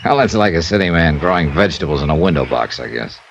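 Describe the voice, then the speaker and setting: An actor playing a cool, probing American insurance investigator, clipped and matter-of-fact, in 1950s radio drama style. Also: male voice, a bass voice, animated, fast, highs cut off. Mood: casual, probing. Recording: faintly noisy old radio recording.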